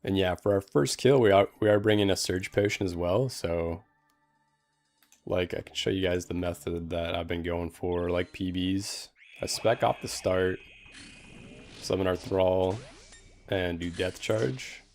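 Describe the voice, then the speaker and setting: A man's voice: low voice, steady tone, measured pace, a little mellow, soft background music. A young man streaming a game, giving easygoing commentary full of "like" fillers and answering chat questions. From Canada. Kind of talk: casual gameplay commentary.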